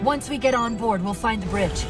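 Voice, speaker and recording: A woman playing an alert artificial intelligence navigator in a video game, giving brisk, urgent tactical reports. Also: fairly high pitch, close to an everyday voice. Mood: urgent, focused. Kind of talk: video game cutscene acting. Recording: loud music over the voice.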